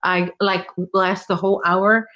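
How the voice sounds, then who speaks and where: A woman's voice: medium pitch, level tone, unhurried, pushed and bright, dull audio. A woman with a Chicago accent hosting a casual crochet livestream from home, chatting and teasing while she works. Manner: chatty, reads viewer comments, teasing.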